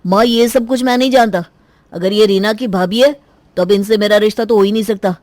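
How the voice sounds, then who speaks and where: Casting: performer as courteous young suitor, earnest cartoon dialogue, medium pitch, dry sound. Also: a little fast, darkish tone, no room sound, close to an everyday voice. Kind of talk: Hindi cartoon voice acting.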